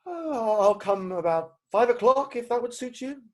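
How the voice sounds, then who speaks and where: A man playing an exasperated, hesitant Victorian gentleman in an amateur play read-through. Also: extremely high pitch, animated, normal speed. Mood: sheepish, polite. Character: exasperated, indignant Victorian gentleman, guardian of a young woman.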